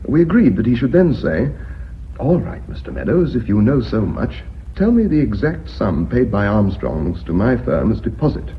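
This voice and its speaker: An actor playing a measured, polite British company secretary in a radio drama, speaking formally and methodically. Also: male voice, mid-range voice, very animated, lightly acted. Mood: calm, explanatory. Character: measured, deferential company secretary and bookkeeper revealing his scheme. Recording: muffled, noisy old radio recording.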